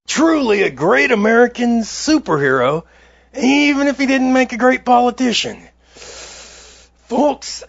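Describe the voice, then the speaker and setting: A man puts on an excitable parody news anchor. His high voice is husky and creaky, with mock-solemn, melodramatic delivery. The audio is slightly dull. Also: exaggerated voice. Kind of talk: parody newscast narration.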